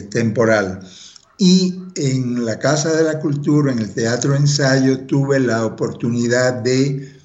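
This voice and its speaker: Slow-older man, roomy echo. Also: medium pitch, darkish tone. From Cuba. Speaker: interview guest. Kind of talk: autobiographical storytelling in conversation. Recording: roomy echo, slightly dull sound.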